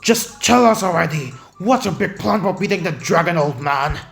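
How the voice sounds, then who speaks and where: An actor voicing a brash, hot-headed male cookie fighter, loud, impatient and scornful, in an animated fantasy fandub. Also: medium pitch, moderate pace, creaky. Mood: angry, impatient. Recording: voice over background music.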